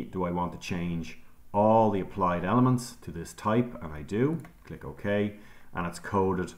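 A low-pitched male instructor narrates a screen-recorded software lesson. He moves through each click calmly, pausing on ums as he explains. It sounds like a desk mic in a quiet room. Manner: calm step-by-step explaining with frequent ums.

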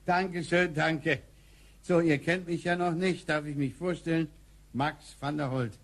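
An actor playing a kindly former scientist from the future in a radio play, explaining things hesitantly. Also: male voice, on the high side, steady tone, unhurried. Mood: polite, friendly.

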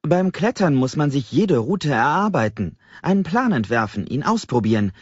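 Man acting an eager, high-pitched young climbing fan. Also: big pitch swings, normal speed, husky, no echo, dull audio. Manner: eager, emphatic. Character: enthusiastic young male climbing fanatic, earnest and chatty.

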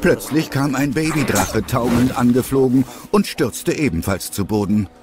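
A man's voice: medium pitch, expressive, moderate pace, lightly acted. A man narrating a children's audio drama as the storyteller of a dragon adventure.

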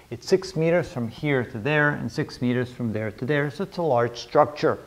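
A man's voice: on the high side, darkish tone. A middle-aged man lecturing at a whiteboard, explaining a worked problem aloud in a methodical, step-by-step manner. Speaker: engineering lecturer. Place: indoors, in front of a whiteboard.

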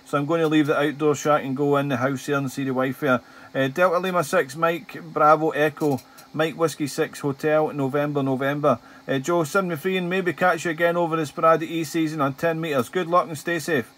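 A Scottish man with a slightly high voice talks on amateur radio from his shack. He is chatty and repeats himself, moving between casual talk and call signs. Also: steady tone, a little fast, a bit bright. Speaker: amateur radio operator, hobby video maker. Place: small outdoor radio shack.

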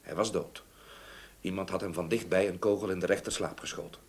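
An actor playing a sober, earnest gentleman witness in a radio play, speaking in a measured, factual way. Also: deep voice, steady tone. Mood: grave, sombre. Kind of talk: Dutch radio play acting. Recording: slightly noisy old radio drama recording.

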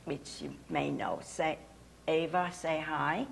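A woman's voice: sits low, fairly even intonation, slow, vocal fry, slight background noise. An older woman, a Hungarian native speaker, hosting a casual studio talk show and chatting with guests, laughing often, on a lapel mic. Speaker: host. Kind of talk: casual interview chat. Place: TV studio set.

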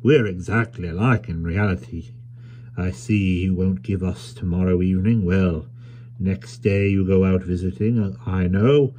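A man's voice: low voice, fairly even intonation, measured pace, warm tone, a little hiss or hum. A man voicing a smug, domineering merchant in a storybook reading, pompous, clipped and sardonic. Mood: falsely friendly. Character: sneering, smug, domineering male merchant Tackleton. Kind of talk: audiobook character voice.

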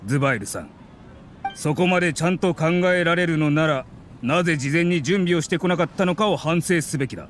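男性が演じる冷たく高圧的な役人. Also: male voice, a little high, a little fast, a bit bright, a little hiss or hum, close to an everyday voice. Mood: cold, dismissive. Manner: curt, stern, condescending. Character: cold, officious male academy official in his thirties.

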